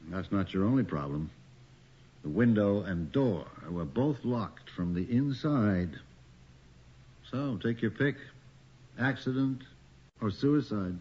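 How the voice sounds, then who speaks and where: An actor playing a middle-aged, world-weary, skeptical police detective in a radio horror drama, with a dry, sardonic delivery. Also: male voice, fairly low pitch, lively intonation, slow, pauses often. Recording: dull, noisy old radio recording.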